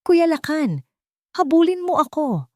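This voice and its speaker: Woman voicing a high-pitched playful little girl. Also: sing-song, unhurried, no echo, clean studio sound. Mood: playful, pleading. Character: playful, pleading little girl, younger sister.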